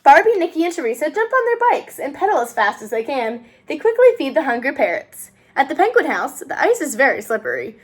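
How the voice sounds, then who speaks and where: A woman reading a children's storybook aloud in an expressive, sing-song way as host of a read-aloud channel. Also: high-pitched, brisk, few pauses. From United States.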